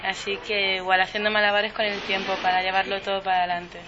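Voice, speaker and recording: A woman's voice: medium pitch, monotone, fast talker, muffled recording, background noise. A woman in her twenties, a student guest speaking matter-of-factly in a casual radio interview.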